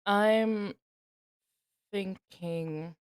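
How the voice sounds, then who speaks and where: A woman at a tabletop fantasy game speaks in a medium-pitched voice at a slow pace. She is casual and deliberate, thinking out loud as a player weighing options. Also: clear, resonant tone.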